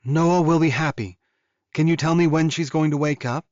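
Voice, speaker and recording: A man's voice: on the high side, steady tone, moderate pace, darkish tone, no echo, lo-fi sound, pitched above the performer's own voice, natural voice. A man voicing an eager, devoted young female werewolf, earnest and emotive, in audiobook dialogue. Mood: hopeful, eager.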